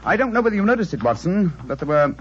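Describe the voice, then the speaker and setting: An actor playing a confident, analytical English gentleman detective in an old-time radio drama, crisp and condescending, with a British accent. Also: high-pitched, sing-song, fast talker. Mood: assured, explanatory. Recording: dull, noisy vintage radio recording.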